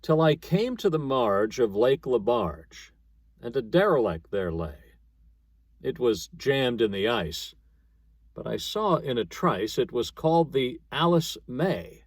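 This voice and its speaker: A man narrates a dramatic poem at a slow, measured pace. His voice is somewhat husky, recorded dry without room sound. The delivery is rhythmic, in the manner of a storyteller. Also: medium pitch, expressive, dry recording. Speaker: narrator, poetry reader. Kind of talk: dramatic narrative poetry reading.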